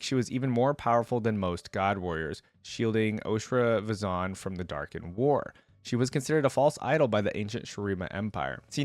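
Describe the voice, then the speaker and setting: A man's voice: lowish voice, no room sound. A man hosting a reaction video, reading aloud and musing in casual conversational asides.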